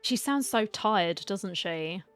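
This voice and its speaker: A woman streamer reacts casually to a game, chatting back at the characters. Her voice is recorded dry on a close mic, with soft music behind it. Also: mid-range voice, expressive, slow, no room sound. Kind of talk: casual live gameplay reaction.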